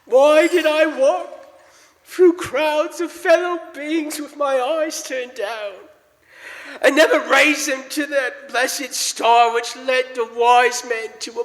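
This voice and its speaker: A man's voice: extremely high pitch, a bit bright, full character voice. An actor playing the tormented ghost of a dead businessman, mournful and warning, in a live radio play. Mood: anguished wailing. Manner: wailing, slow, drawn-out, grave warnings. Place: live stage in a church. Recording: long church hall reverb.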